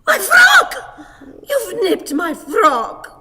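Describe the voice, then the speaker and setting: A woman's voice: very high voice, wildly swooping pitch, drawn-out words, pushed and bright, full character voice. A woman playing a stout, cantankerous, self-pitying old woman in a radio play, with theatrical, exclamatory wailing and lamenting. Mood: flustered, wailing. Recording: reverberant hall, audience noise.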